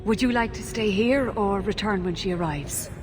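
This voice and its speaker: An actress playing an anxious, grieving elf mother, gentle and grateful, in a fantasy video game. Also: moderate pace, over music, lightly acted. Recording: noisy game capture with rumble.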